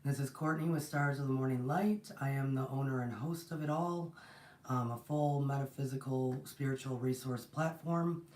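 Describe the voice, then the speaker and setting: A woman's voice: extremely low pitch, normal speed. A woman hosting a spiritual livestream, talking casually with a strained, partly lost voice, greeting viewers between rambling thoughts. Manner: rambling, warm greetings to viewers, many restarts. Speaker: host of a spiritual platform. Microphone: distant mic, no proper setup. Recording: echoey room, voice from far off.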